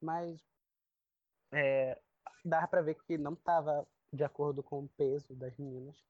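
A woman co-hosting a casual fan podcast, chatty and quick to laugh as she explains things. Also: low-pitched, fairly even intonation, no room sound. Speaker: podcast co-host. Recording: dry muffled lo-fi audio.

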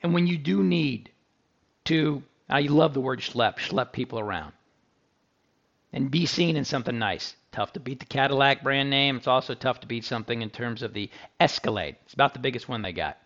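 Gravelly chatty man reviewing a car. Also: mid-range voice, lively intonation, moderate pace, a bit bright, dull audio. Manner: chatty and enthusiastic, with restarts and asides to listeners. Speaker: car review podcast host. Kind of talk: casual spoken car review.

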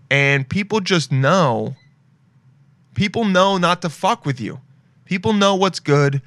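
A man's voice: medium pitch, fairly bright tone, no room sound. A young man hosting a casual podcast, rambling and joking as he browses shopping sites and reads reviews aloud. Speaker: podcast host.

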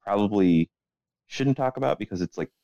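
A man's voice: fairly low pitch, expressive, normal speed, dark tone, no echo, highs cut off. A man co-hosting a casual podcast in a laid-back, hesitant way, often hedging what he says. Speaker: podcast co-host.

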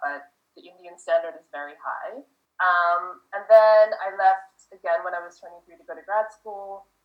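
A woman in her forties from the United States, a guest chatting in a rambling way with lots of fillers. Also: fairly low pitch. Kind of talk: casual interview answers. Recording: echoey, dull lo-fi sound.

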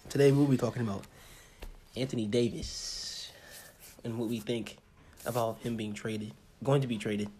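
A young American man with a husky voice, co-hosting a casual sports chat in an informal, halting way and often repeating himself. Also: mid-range voice, fairly even intonation, mellow, a little hiss or hum. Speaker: podcast co-host.

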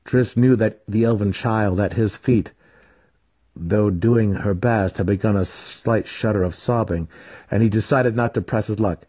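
A man's voice: fairly low pitch, measured pace, warm tone, breathy edge, poor audio. A man reading fantasy fiction as a grave, dramatic scripted narration. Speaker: audiobook narrator.

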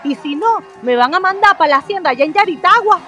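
Radio soap ingénue, played by a woman: giggly young Venezuelan girl, high and swooping, drawn-out delivery. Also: animated, sharp tone, faint music behind, exaggerated voice. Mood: worried, teasing. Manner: coy, giggling, colloquial. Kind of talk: radio soap opera acting.

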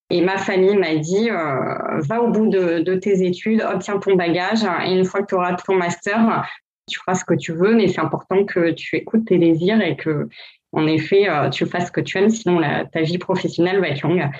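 Woman, 30s, interview guest: low voice, halting, lots of fillers, dull lo-fi recording. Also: darkish tone. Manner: hesitant, many fillers. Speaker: podcast guest, brand founder. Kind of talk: casual interview talk. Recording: dull lo-fi sound.

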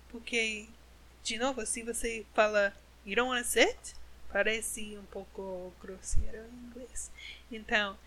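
Slow, bright-voiced woman learning Portuguese. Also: on the high side, rough recording. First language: English. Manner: slow, careful learner's speech with self-corrections. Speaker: podcast host. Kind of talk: casual podcast monologue.

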